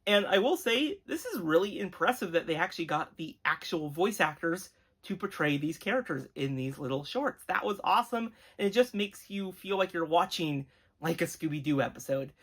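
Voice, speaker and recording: Earnest review show host, mid-pitched voice, chatty and appreciative, leans on words for emphasis. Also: lively intonation, moderate pace, a bit bright, slightly rough. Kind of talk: enthusiastic retro cartoon review, conversational commentary.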